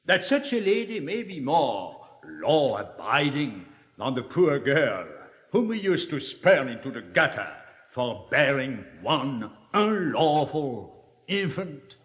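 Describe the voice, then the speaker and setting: Suave cynical libertine, lively swinging intonation, declamatory 1950s stage drama, long hall reverb. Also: male voice, mid-range voice. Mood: teasing, argumentative. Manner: rhetorical, deliberate, declamatory. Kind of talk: 1950s theatrical dramatic reading.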